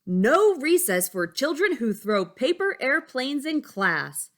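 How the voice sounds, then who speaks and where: A woman's voice: high-pitched, big pitch swings, takes it slowly, voice pushed up, heavily acted. A woman voicing a strict, monstrous female schoolteacher from a storybook, stern and commanding. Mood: angry roaring.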